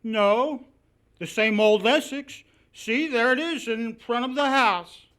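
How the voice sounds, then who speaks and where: A man's voice: very high-pitched, slow pace, fairly bright tone, heavily acted. A man playing a mild, innocent postman in 1940s radio comedy style. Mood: innocent, bemused.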